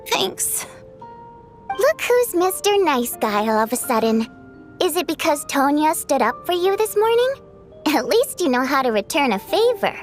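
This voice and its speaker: A woman's voice: high voice, sing-song, a little slow, faint music behind. An actress playing a kind, frank young girl who is sickly but brave, in a video game. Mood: teasing.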